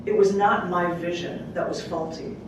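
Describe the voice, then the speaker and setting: A woman poet reading her work aloud at a live open mic, with deliberate, dramatic phrasing and casual asides. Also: on the low side, steady tone, lo-fi sound. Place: public library room at a live event. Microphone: distant microphone. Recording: echoey room from far off, clearly noisy.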